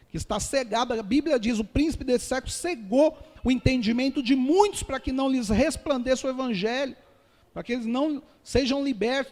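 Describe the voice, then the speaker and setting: A man with a Brazilian Portuguese accent, a pastor giving an earnest testimony sermon and calling his listeners dear ones. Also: extremely high pitch. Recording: long hall reverb.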